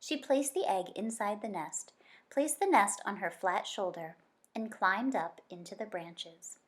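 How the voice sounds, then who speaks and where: A woman reading a children's book aloud as an expressive story narrator. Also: mid-range voice, measured pace. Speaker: story reader.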